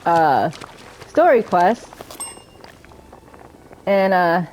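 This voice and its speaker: Slow-paced woman chatting through gameplay. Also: lowish voice, very animated, darkish tone, slight background noise, rough recording. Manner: chatty, thinking aloud. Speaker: game streamer playing and commentating. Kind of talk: casual gameplay commentary. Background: game music.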